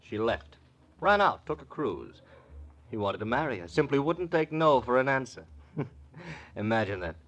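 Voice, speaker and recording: An actor playing an idle, jaded society drinker in a 1940s radio drama, affected, languid and dryly witty. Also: male voice, mid-range voice, big pitch swings, normal speed, long pauses, fairly bright tone, highs cut off. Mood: wry, resigned. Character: idle, jaded, martini-drinking society gentleman, lovelorn hanger-on.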